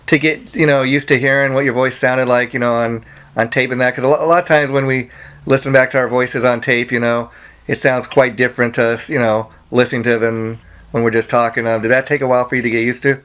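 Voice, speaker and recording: A male interviewer speaks in a smooth, clear voice at a middling pitch. He rambles through his questions in a casual way, padding them with "you know" and "like", over a phone call. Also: little pitch movement, fast talker, fairly bright tone.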